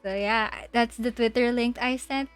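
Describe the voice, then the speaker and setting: A woman's voice: a little high, fairly even intonation, normal speed, smooth. A woman livestreamer giving casual game commentary, thinking aloud and often repeating her words. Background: faint game music.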